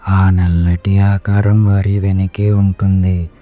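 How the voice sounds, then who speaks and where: A man's voice: sits low, little pitch movement, takes it slowly, dark tone. A man narrating a scripted horror story as its storyteller. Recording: music behind the voice.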